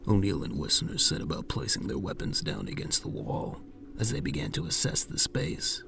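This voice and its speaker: A man narrating a scripted science fiction audio drama in a measured storytelling manner. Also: a bass voice, vocal fry.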